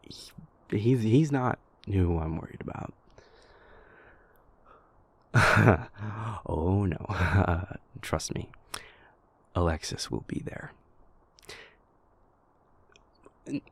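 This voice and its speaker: A man playing an anxious, self-doubting vampire prince who confides in his lover in an intimate audio roleplay, stammering and repeating himself in a husky, gravelly voice. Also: deep voice, dry recording, full character voice. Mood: wryly amused. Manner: halting, stammering, repeats words, hesitant.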